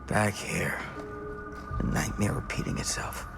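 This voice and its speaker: A man playing a protective, weary small-town father in a horror game, speaking urgently and often dropping into a breathy mutter. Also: on the low side, unhurried. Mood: grim muttering. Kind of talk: cinematic horror game acting. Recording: music behind dialogue.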